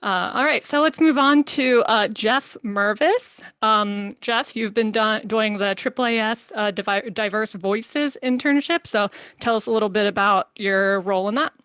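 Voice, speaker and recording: An upbeat woman from the United States moderates an online panel. Her voice is bright and crisp, and it sounds dry and close to the mic. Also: mid-range voice, normal speed, few pauses, no echo.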